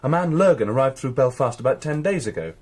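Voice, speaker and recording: Police constable, polite and plodding, husky, lively intonation, radio crime drama. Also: male voice, mid-range voice, fast talker. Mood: deferential. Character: dutiful, deferential uniformed male police constable.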